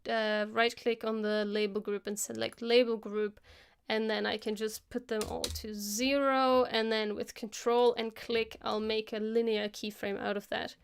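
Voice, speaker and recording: A woman hosting a live stream, casually talking through a software tutorial as she works, thinking aloud into a close microphone. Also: fairly high pitch, creaky, clear, resonant tone. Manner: casual, chatty. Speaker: host of an animation live stream.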